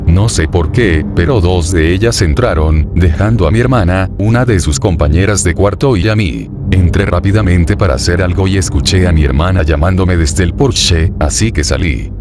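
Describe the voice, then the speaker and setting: A man narrating a first-person horror story in a steady, even reading voice. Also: deep voice. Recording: narration over clear background music. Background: background music.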